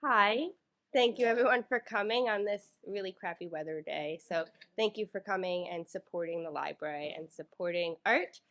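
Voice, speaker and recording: A woman's voice: medium pitch, moderate pace, fairly bright tone, dull audio. A woman from New York reading her own poems to a live audience in a library, a little nervous, with many fillers between pieces. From New York, United States. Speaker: featured poet. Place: public library event room. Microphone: microphone in front of a live audience.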